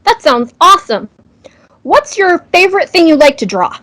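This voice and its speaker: Woman, high-pitched voice, interview host, informal, friendly, encouraging and appreciative. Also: very animated, moderate pace, fairly bright tone. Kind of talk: casual friendly interview questions.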